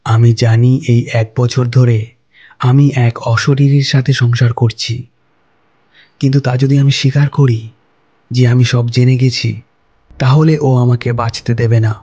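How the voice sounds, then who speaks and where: Slow, mellow male storyteller. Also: medium pitch, little pitch movement, breathy edge, highs cut off. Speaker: narrator. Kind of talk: scripted first-person story narration.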